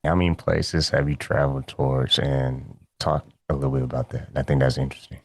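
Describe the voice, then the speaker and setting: Man, radio host, very deep voice, gravelly, laid-back friendly chat, dry close mic. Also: flat delivery, a little fast, darkish tone, no room sound. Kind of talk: casual interview chat.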